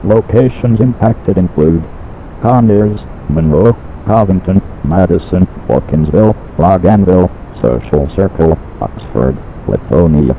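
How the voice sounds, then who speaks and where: A deep-voiced man reads an emergency weather bulletin in a formal, mechanical manner. His delivery is clipped, like an announcer working through a list. The sound is narrow and phone-like, with faint noise. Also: expressive, unhurried. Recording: muffled phone-like radio broadcast with noise.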